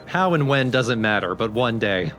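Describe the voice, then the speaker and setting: A man's voice: mid-range voice, animated, moderate pace, a bit bright, soft background music. A man giving casual, explanatory commentary on a video game as he plays. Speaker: let's play commentator.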